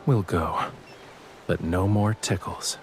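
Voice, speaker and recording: A man's voice: sits low, slow pace, creaky, a little hiss or hum, full character voice. A man playing a teasing, pouty and smug young love interest in a romantic audio drama. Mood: negotiating. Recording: music under the voice. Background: background music.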